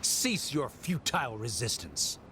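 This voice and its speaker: An actor playing a cold, condescending scholar in a video game, lecturing in a formal, pompous way. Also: male voice, medium pitch, very animated, a little slow, heavy background noise. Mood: stern, dismissive. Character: cold, condescending male academic scholar, stern debater.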